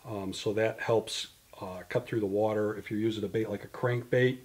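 A man's voice: on the low side, steady tone, normal speed, slightly rough. Man in his fifties explaining tackle. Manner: detailed, explanatory, gesturing with products in hand. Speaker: fishing tackle company guest. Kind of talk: product explanation interview. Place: indoor studio in front of a banner.

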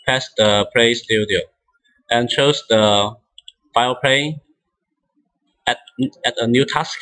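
Bright-voiced man giving a halting software tutorial. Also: mid-range voice, low-quality recording. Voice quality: non-native English speaker. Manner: halting, hesitant phrasing with frequent restarts. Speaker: instructor demonstrating software.

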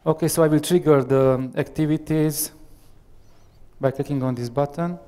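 A man in his thirties with a Romanian accent gives a live software demo on a headset mic, hesitant and full of fillers. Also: fairly high pitch, fairly even intonation, moderate pace. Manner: hesitant, many fillers and restarts. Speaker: software architect giving a demo. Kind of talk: live tech demo presentation. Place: large conference room with audience. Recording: long reverb of a large hall.

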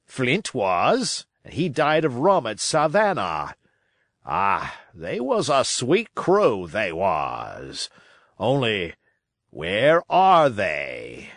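A man's voice: lowish voice, wildly swooping pitch, drawn-out words, a bit bright, no echo, studio quality, exaggerated voice. A man reading a storybook, voicing a shrewd, domineering pirate sea cook with salty, emphatic sailor talk. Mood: sly, grave.

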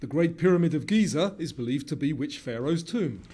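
A man's voice: on the high side, lively intonation, fairly quick, dark tone, breathy edge. A middle-aged man reading scripted quiz narration as the presenter. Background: background music.

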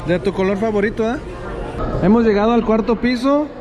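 Mexican dad vlogger, high voice, upbeat, on camera in a loud crowded store. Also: male voice, fairly quick, a crowd in the background. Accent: Mexican Spanish. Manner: upbeat, talks to camera and his kids. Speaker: family vlogger. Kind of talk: vlog narration while walking. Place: busy indoor store.

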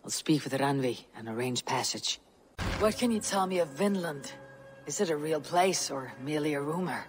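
Gruff, husky Viking warrior woman. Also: sits low, expressive, normal speed, music in the background. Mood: resolute. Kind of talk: video game cutscene acting. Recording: clear background noise.

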